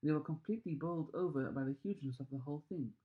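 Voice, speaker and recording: Male storybook reader, fairly high voice, expressive and emphatic delivery, slightly dull lo-fi recording. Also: very fast.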